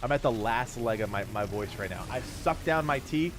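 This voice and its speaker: A thirty-year-old man whose voice is going hoarse and stuffy, streaming live and thanking his viewers for donations in a grateful, rambling way. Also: mid-range voice, lively intonation, moderate pace, background noise. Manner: grateful, rambling, laughs often.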